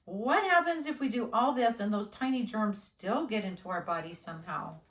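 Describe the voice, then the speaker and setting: A woman's voice: mid-range voice, lively intonation, normal speed, a bit bright, lightly acted. A woman voicing a curious, slightly worried young girl in a children's storybook, with earnest questions. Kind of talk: children's storybook read-aloud. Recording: roomy, echoing indoor sound.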